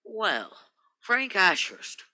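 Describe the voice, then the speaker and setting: Forceful, hearty young chap played by a woman. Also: medium pitch, big pitch swings, very slow, dry recording, heavily acted. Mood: hearty, jovial. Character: hearty, sociable upper-class young man, old school friend. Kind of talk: radio play acting.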